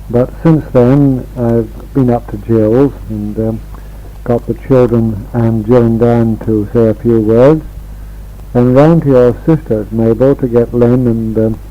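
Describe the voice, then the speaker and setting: An English man in his sixties rambling in a homely way as he records a casual spoken letter to family on an old home tape. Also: medium pitch. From England. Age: 60s. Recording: dull 1960s home reel-to-reel tape.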